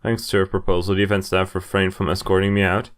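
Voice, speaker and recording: A man's voice: low voice, flat delivery, fast talker, smooth. A man in his twenties hosting a playthrough, reading game narration aloud with casual asides. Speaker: gaming video host. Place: indoor room, webcam in corner.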